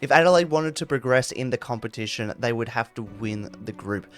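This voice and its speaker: A man's voice: mid-range voice, expressive, a little fast, heavy background noise. A man reading scripted narration for a football history video.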